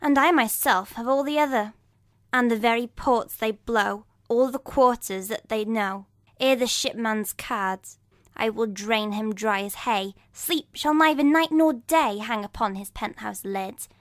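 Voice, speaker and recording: A woman's voice: a little high, measured pace, no room sound, studio quality, lightly acted. A woman playing a vengeful witch in a dramatic Shakespeare reading, chanting rhymed curses and prophecies.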